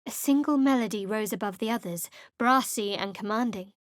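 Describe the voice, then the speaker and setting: A woman playing a guarded, wry, secretive young cleric adventurer in a fantasy video game, with a cool, measured, sardonic delivery. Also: a little high, no room sound, clean studio sound, close to an everyday voice. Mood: measured, hushed.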